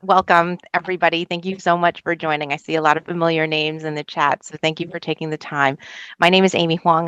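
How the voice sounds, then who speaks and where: A woman's voice: low-pitched, fairly bright tone, no room sound. A woman speaking as a panelist on a video call, upbeat and enthusiastic. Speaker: panelist, tech director.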